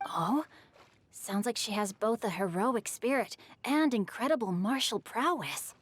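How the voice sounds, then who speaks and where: A woman's voice: a little high, animated, a little slow, slight background noise. A woman voicing a refined, bookish and mischievous young boy poet in a video game, speaking eloquently and with composure. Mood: poised, eloquent. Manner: eloquent, composed, literary phrasing.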